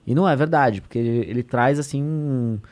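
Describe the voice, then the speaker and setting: A man's voice: mid-range voice, fairly quick, a little mellow. A man, a state deputy talking as a podcast guest, explaining in an earnest, conversational way with many fillers and restarts.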